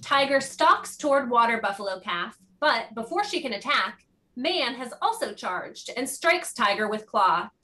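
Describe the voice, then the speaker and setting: A woman's voice: fairly high pitch, animated, unhurried, bright, forceful tone. A woman narrating the stage directions of a play from a script.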